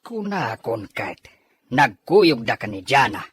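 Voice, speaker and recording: Drama role: worried middle-aged father played by a woman. Very deep for the performer, husky, expressive pitch movement. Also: takes it slowly, no room sound, full character voice. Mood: concerned. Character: concerned father of a family, middle-aged man. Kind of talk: Ilocano family drama acting.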